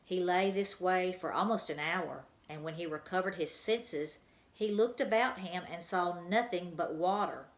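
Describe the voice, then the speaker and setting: Low-voiced woman reading a storybook. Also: measured pace, reverberant. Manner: expressive, storytelling. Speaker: teacher reading aloud. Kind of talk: children's book read-aloud.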